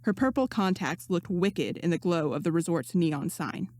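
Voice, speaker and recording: A woman's voice: lowish voice, lively intonation, moderate pace, a little mellow, no echo. A woman reading a fan story aloud as scripted narration, close to the microphone. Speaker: podfic reader. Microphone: close XLR microphone.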